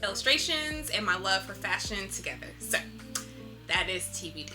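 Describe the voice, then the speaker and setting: A woman with a strikingly bright, forward voice and a medium pitch, chatting as an interview guest. Her delivery is expressive and conversational, and music plays faintly behind her. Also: moderate pace. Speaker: interview guest, visual artist.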